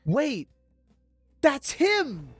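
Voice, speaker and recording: Playful, unhurried woman streamer in a roomy space. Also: sits high, wildly swooping pitch. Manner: playful, joking. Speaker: game streamer. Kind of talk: casual live reaction to a game. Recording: roomy echo with game music.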